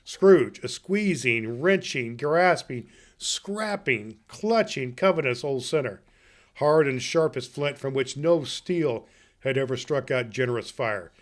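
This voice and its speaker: A man playing a jolly, grandfatherly old Santa Claus, hosting a podcast for children in a folksy, halting manner. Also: medium pitch, lively intonation. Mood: storytelling. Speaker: podcast host. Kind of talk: story reading and chat to children.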